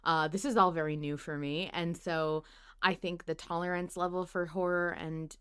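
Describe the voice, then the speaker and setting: A woman's voice: on the low side, fairly even intonation, moderate pace, fairly bright tone, smooth. A woman hosting a podcast, chatting casually and thinking aloud with a playful, conversational manner. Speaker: podcast host. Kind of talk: casual fan discussion chat.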